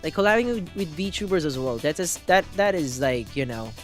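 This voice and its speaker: Excitable man rambling on a livestream. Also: fairly high pitch, moderate pace, faint music behind. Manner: excitable, rambling, many restarts and "freaking". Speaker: live streamer. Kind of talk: casual chat to a live audience.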